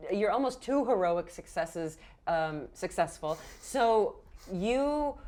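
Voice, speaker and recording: A woman running a tabletop game as game master, improvising narration in a halting, enthusiastic way and chatting casually with players. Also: medium pitch, animated, a little mellow. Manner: halting, pieces scenes together mid-sentence, enthusiastic.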